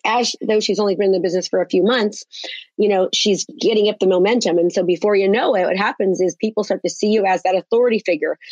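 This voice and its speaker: A woman with a raspy voice, a real estate coach talking with energy and emphasis in a podcast interview. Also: medium pitch, dry recording, muffled recording. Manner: energetic, emphatic, many restarts.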